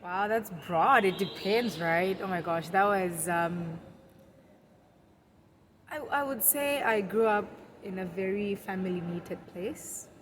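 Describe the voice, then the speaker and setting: A young woman from Zimbabwe, a podcast guest who talks in a relaxed, storytelling way and laughs easily. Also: medium pitch, slow pace, slight background noise. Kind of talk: conversational interview.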